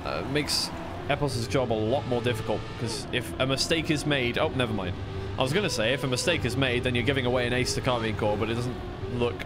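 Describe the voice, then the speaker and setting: A man's voice: medium pitch, a little fast, background noise. A man giving casual, unscripted live play-by-play and analysis as an esports commentator on a stream.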